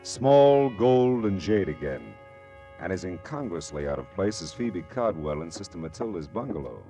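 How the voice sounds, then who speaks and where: An actor playing a wry, smooth-talking hard-boiled private detective in a 1940s style radio drama, with dry wisecracks. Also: male voice, deep voice, big pitch swings, normal speed, warm tone, soft background music. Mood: wry narrating.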